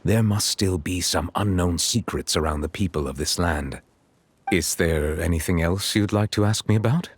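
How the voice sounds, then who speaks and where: An actor playing a solemn, dignified chief judge in a video game, speaking in a formal, measured and courteous way. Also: male voice, sits low, a little hiss or hum, lightly acted. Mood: grave, courteous. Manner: formal, measured, erudite phrasing. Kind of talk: scripted game dialogue.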